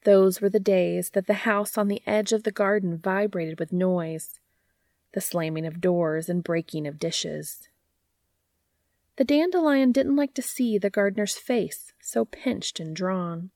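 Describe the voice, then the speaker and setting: A woman's voice: mid-range voice, unhurried, a little mellow, no echo, clean studio sound. A woman hosting and reading stories aloud in scripted storybook narration, with music behind her. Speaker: podcast host and fan fiction reader.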